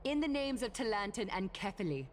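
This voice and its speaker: A woman voicing a solemn, authoritative judge who presides over a tribunal in a video game, speaking in a formal, measured, ceremonial way. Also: medium pitch, big pitch swings, a little hiss or hum, natural voice. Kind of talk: formal dramatic game voice acting.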